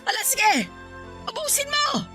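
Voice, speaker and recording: An indignant older Filipina mother in a radio play, fed up with her grown son. She scolds in a high, sharp voice that swings widely in pitch. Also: very animated, unhurried, faint music behind, exaggerated voice. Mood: bitter, hurt. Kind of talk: Filipino radio drama acting.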